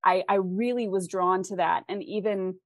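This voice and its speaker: A woman's voice: medium pitch, fairly even intonation, moderate pace, no echo, studio quality. A woman speaking casually as an author being interviewed on a podcast. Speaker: podcast guest, fantasy author.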